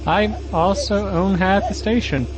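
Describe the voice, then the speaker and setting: Man playing a hesitant, naive magic user, lightish voice. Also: high voice. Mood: matter-of-fact. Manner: hesitant, halting. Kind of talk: comedic audio drama acting. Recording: clear engine and vehicle noise.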